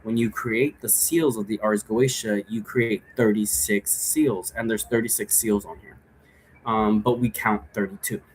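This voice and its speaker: A man's voice: fairly low pitch, fairly even intonation, unhurried, poor audio. Casual man explaining over background noise. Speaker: host giving an occult divination tutorial. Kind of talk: casual rambling explanation to viewers.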